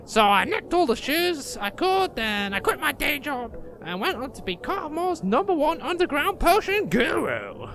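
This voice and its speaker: A man's voice: extremely high pitch, very animated, moderate pace, hardly any pauses, fairly bright tone, a little hiss or hum, heavily acted. A man playing an irritable, boastful, foul-mouthed rogue who peddles potions in a comedy fantasy audio drama. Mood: boastful, rambling. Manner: cocky, grumbling, rambling.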